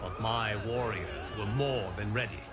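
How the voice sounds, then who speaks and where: An actor playing a calm, solemn male fire god who briefs the player in a game cutscene, speaking in measured, formal words with music behind. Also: on the low side, close to an everyday voice. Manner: measured, grave, formal diction. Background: game score music.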